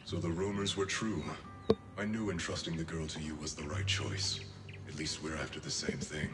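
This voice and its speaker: A very deep-voiced, big male soldier in a video game drama. He is candid, resolute and quietly weary, with measured, earnest delivery. Loud music plays behind in an echoey space. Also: fairly even intonation. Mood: wry, candid.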